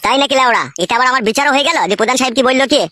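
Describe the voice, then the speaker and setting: A woman's voice: high voice, very fast, pushed and bright, slight background noise. A woman playing a crude, swaggering husband in a comedy cartoon, with a coarse, bossy way of talking. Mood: demanding. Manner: coarse, brash, bossy, swearing. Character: crude, swaggering young husband with two wives.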